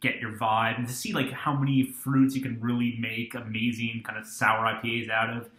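A man's voice: medium pitch, flat delivery, normal speed, pushed and bright, echoey room. A young man in his twenties casually reviewing a beer, rambling with lots of ums and restarts, filmed on a webcam at a desk in a small room. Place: small dorm-like room indoors.

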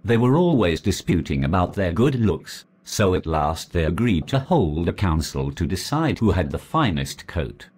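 Low, husky-voiced man, expressive storyteller reading a legend. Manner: measured, deliberate storytelling. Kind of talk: reading a folk legend aloud.

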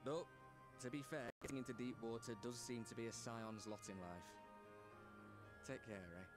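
An actor playing an earnest, determined soldier in a game, blunt and plain-spoken with a British accent. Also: male voice, medium pitch, steady tone, normal speed, music in the background, lightly acted. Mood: steady. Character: earnest, determined male armoured soldier with self-doubt. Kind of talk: game cutscene voice acting.

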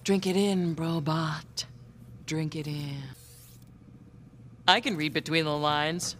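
A woman's voice: low voice, fairly even intonation, slow pace, big-room sound, slight background noise. A woman playing a brash, lustful shop owner in a video game, bossy and theatrical, with a commanding drawl. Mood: possessive, lustful.

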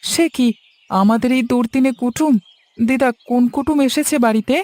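A woman's voice: fairly high pitch, moderate pace, darkish tone, no room sound, close to an everyday voice. A woman voicing a helpful, dutiful young village handyman in a cartoon story, earnest and polite. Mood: concerned. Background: background music.

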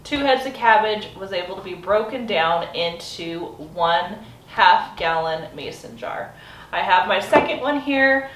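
A woman's voice: mid-range voice, animated, a little slow, a bit bright. A woman in her thirties giving a friendly step-by-step cooking demonstration in her home kitchen, filmed by a camera across the counter. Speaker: homesteading vlogger. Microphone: camera mic from across a counter. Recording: roomy sound from a far mic.

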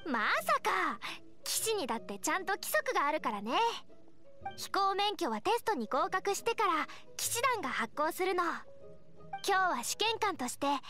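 A woman playing a cheerful, responsible young knight scout in an anime game, explaining things in an energetic, friendly way. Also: very high-pitched, full character voice. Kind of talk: Japanese anime game dialogue.

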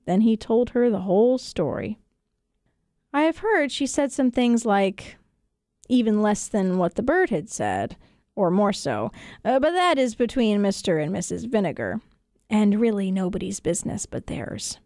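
A woman storyteller reading children's tales in an expressive audiobook narration style. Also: mid-range voice, moderate pace, no room sound, studio quality. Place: radio studio.

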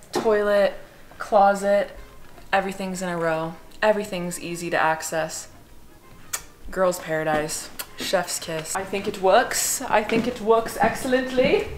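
A young woman in her twenties talks casually and cheekily as she walks a camera through her unfinished house. Her voice rings around the bare stud walls, and background music plays beneath it. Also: mid-range voice. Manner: bubbly, cheeky and profane. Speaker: vlogger giving a house tour. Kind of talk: casual chatty walkthrough. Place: unfinished house under construction, indoors. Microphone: small clip-on mic. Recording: echoey framed-out rooms with music behind.

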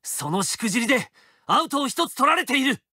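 A man's voice: extremely high pitch, very animated, fairly quick, very noisy. Stoic, stern young swordsman. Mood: stern, angry. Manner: clipped, cool, bursting into sternness. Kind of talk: anime-style mobile game character lines. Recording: game voice clips over loud music.

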